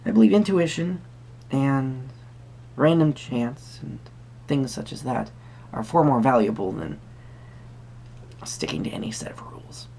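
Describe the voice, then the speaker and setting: A performer playing a whimsical magical tarot reader, musing hesitantly in a soft-spoken roleplay with a creaky voice. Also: medium pitch. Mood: musing, gentle. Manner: hesitant, musing, pausing with hums.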